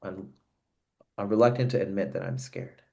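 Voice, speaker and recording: A man with a very deep voice hosts a thoughtful conversation over a video call. His talk is earnest and searching, often pausing and restarting as he works toward what he means. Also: huge pitch swings, gravelly, warm tone. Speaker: host. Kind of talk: reflective conversation.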